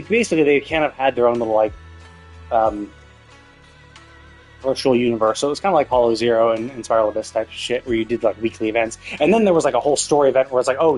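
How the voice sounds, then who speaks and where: A man's voice: mid-range voice, darkish tone. A man live streaming a game, talking casually in a rambling, opinionated way with some swearing.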